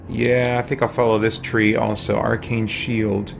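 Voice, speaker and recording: Slow-talking, creaky-voiced male game streamer. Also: fairly low pitch, level tone, noisy background. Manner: musing, thinking aloud while choosing. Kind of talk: casual live gameplay commentary. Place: indoor room with shelves, streaming setup.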